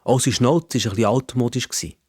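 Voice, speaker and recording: A man's voice: medium pitch, brisk, a little mellow, husky, no echo, clean studio sound. A man with a Swiss German accent telling a children's story in the first person.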